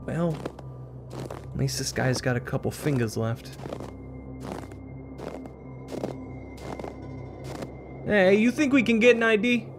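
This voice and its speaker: A man's voice: sits high, very animated, normal speed, music in the background. A man voicing a sardonic, impatient New York City detective in an audio drama, blunt and skeptical. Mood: puzzled.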